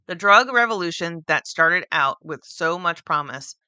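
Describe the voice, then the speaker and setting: A woman hosting a book club, reading a nonfiction book aloud at a steady pace, now and then stumbling and restarting a word. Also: on the low side, measured pace, clear, resonant tone, no room sound.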